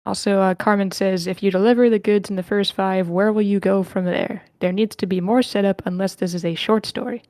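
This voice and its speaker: A woman moderates an online group session in a casual, chatty way. Her voice sits at an average pitch for a woman. The sound is dry, with very little room in it. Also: steady tone, brisk, few pauses, mellow, no room sound. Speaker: host of an online script reading session.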